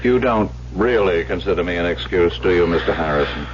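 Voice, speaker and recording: A muffled, lightly hissing old radio broadcast features a formal psychiatrist. The man's deep voice is measured and patient, probing with careful, composed questions. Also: animated. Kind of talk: old-time radio drama acting.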